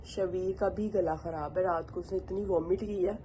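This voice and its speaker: A woman's voice: lowish voice, normal speed, slight background noise, rough recording. Chatty woman vlogger with flu. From Pakistan. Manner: chatty, complaining, animated when ranting. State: sore throat, has flu. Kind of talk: casual vlog chat to camera.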